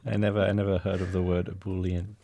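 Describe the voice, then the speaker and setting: A middle-aged man co-hosting a radio show in casual chat, dry and deadpan with short interjections. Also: deep voice, fast talker.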